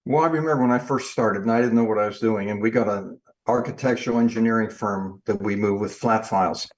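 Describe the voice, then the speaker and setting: An older man, a guest in an interview, telling lively stories with emphatic delivery. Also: on the low side, fast, low-quality recording. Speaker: guest, industry trainer. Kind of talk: storytelling in an interview.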